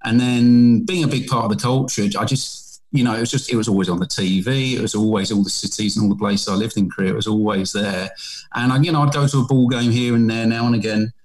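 A man's voice: on the low side, fairly even intonation, fast, low-quality recording. A British man giving an interview as a painter, speaking enthusiastically and rambling with repeated words. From Britain. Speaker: guest, painter. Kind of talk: interview answers.

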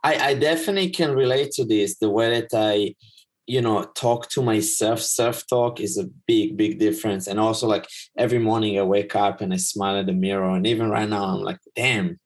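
Low-voiced man, relaxed host. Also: brisk. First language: Hebrew. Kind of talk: casual podcast conversation.

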